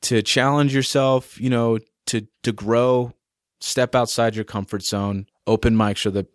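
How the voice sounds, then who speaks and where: A young man chats loosely in a podcast interview, leaning on fillers like "you know" and "man". His voice sits in a middle pitch. It is recorded close and dry. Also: steady tone, unhurried, dry recording. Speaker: musician guest. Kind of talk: casual interview chat.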